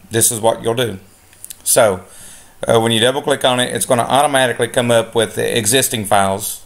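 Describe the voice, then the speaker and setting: Man, instructor, gravelly, relaxed, filler-heavy explaining. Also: medium pitch. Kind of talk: screen-recorded software tutorial. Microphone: voice-over on a screen recording.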